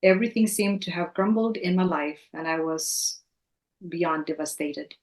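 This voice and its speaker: Woman with a low, echoey voice. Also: normal speed, a little mellow. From Philippines. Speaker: guest, elementary teacher. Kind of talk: earnest personal testimony. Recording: echoey room.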